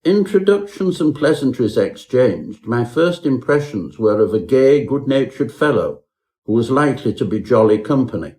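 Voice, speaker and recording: A man's voice: mid-range voice, animated, normal speed, mellow. A man narrating a parody Victorian ghost story in a pompous, mock-genteel manner.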